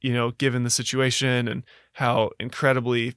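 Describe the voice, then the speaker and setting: An American man speaks in an even, level tone with a smooth, clean voice. He tells his story earnestly, pausing often with fillers and restarting his thoughts. The recording is dry and close, with no room sound. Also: medium pitch, no echo. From United States. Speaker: podcast guest. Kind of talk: reflective storytelling.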